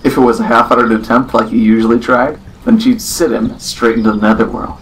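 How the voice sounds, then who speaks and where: A man narrates a scripted story in a medium-pitched voice. It is an amateur recording with slight noise behind him. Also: level tone, a little fast, low-quality recording.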